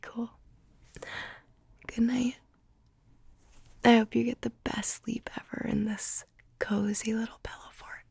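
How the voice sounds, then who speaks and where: A young woman whispering softly in an ASMR roleplay, gentle and tender, a little tearful. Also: fairly high pitch, flat delivery, slow, creaky, long pauses, husky, dry recording. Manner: soft whispering.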